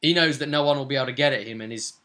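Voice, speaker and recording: Bright-voiced man recapping a game. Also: medium pitch, rapid-fire. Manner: chatty, conversational storytelling with tag questions. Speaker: game master. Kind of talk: casual recap of a tabletop role-playing session.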